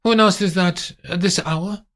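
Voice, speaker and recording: A man reading aloud voices an imperious wealthy woman who gives crisp orders. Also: fairly low pitch, normal speed, pitched above the performer's own voice, close to an everyday voice. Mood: commanding. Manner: clipped, haughty, gives orders.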